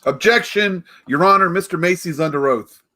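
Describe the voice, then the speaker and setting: An actor playing a combative, exasperated prosecutor in a 1940s-style radio play, indignant and emphatic. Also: male voice, sits high, normal speed, sharp tone. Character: exasperated, combative male prosecutor, family man.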